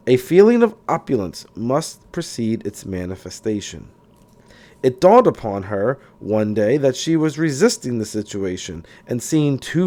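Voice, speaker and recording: Man reading aloud with lively, swooping pitch. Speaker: narrator. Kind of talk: audiobook-style reading of a self-help book.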